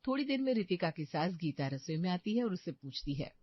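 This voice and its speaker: A woman's voice: fairly low pitch, big pitch swings, brisk, no echo. Woman narrator, dry slightly muffled sound. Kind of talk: scripted story narration.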